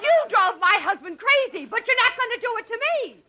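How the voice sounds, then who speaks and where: A woman's voice: animated, fairly quick, pushed and bright, heavily acted. A woman acting a hysterical, aggrieved wife who rants shrilly in a 1950s radio comedy recording. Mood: hysterical anger. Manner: shrill, ranting, rattles off lists. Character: hysterical, aggrieved wife of a harried store clerk.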